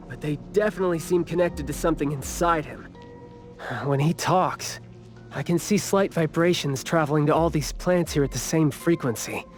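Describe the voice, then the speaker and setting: An actor voicing a calm, thoughtful male investigator in a video game, explaining things plainly. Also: fairly high pitch, close to an everyday voice.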